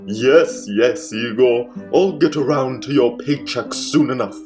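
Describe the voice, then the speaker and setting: Casting: man as megalomaniac mad doctor for old-time comedic radio mystery, theatrical and commanding, mid-pitched, measured pace. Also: over music, lightly acted. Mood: scheming. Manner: theatrical, exclaiming, cackling. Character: gleeful megalomaniac mad scientist, villainous and bossy.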